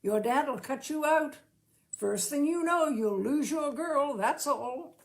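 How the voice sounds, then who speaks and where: A woman's voice: high-pitched, lively intonation, slow, voice pushed up, full character voice. A woman voicing jovial onlookers who tease a married man in a story reading. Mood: teasing. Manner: jovial, teasing. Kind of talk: storybook narration dialogue.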